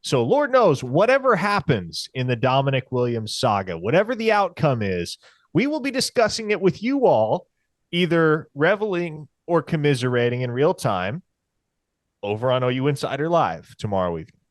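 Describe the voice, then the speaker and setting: A man's voice: on the high side, sing-song, moderate pace, no echo. A man co-hosting a sports podcast, speaking in a dry, wry and articulate way, also reading ads. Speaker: podcast co-host. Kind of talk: casual sports podcast chat and host-read ad.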